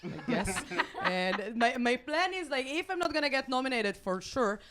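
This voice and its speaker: Woman, Israeli accent, late twenties, somewhat high pitch, deadpan, halting stand-up delivery. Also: noisy background. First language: Hebrew. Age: late 20s. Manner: deadpan self-mocking delivery with halting restarts. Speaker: stand-up comedian. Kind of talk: stand-up routine. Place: comedy club stage with a live audience.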